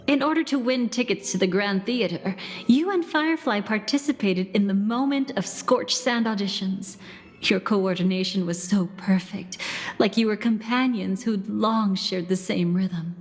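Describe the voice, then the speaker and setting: Woman acting as wistful female game narrator, measured storytelling, long reverberant tail, music underneath. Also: mid-range voice, natural voice. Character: soft-spoken woman narrator recalling bittersweet memories.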